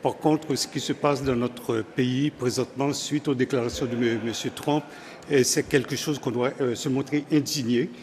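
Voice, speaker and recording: A man's voice: medium pitch, steady tone, normal speed, a little mellow, a little hiss or hum. A man from Haiti, a community leader, giving an earnest statement at a press conference.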